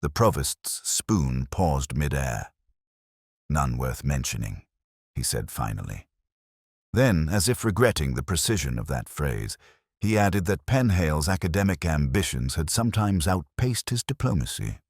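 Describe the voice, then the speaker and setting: An Englishman narrates literary detective fiction in a profoundly deep, husky voice. His delivery is formal and reflective with a wry edge, and his intonation moves freely. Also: big pitch swings, moderate pace, darkish tone, dry recording, clean studio sound. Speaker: first-person narrator of a detective story.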